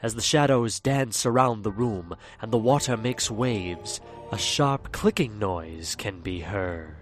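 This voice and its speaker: A man's voice: on the low side, takes it slowly, over music. A man narrating a fan audio drama in a theatrical storytelling manner. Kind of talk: scripted narration.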